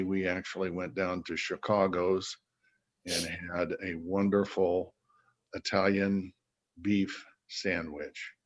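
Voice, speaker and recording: An older man with grandchildren giving a relaxed check-in to his Bible study group, with many fillers. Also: low voice, highs cut off. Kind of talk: casual check-in.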